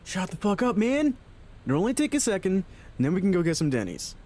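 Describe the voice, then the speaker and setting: A man's voice: high voice, sing-song, brisk, dark tone. A man playing an impatient, foul-mouthed young punk in an audio roleplay. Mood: irritated.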